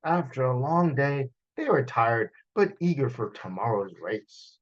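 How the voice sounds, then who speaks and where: A man reading a children's story aloud in an expressive, animated storytelling style, with dramatic gasps and sound effects. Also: mid-range voice, unhurried, muffled recording. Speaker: children's author reading his book aloud. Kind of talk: animated picture-book storytelling.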